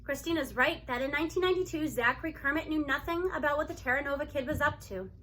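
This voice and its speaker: A woman reading a children's novel aloud in an expressive narrating style. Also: high-pitched, fast talker, a little hiss or hum.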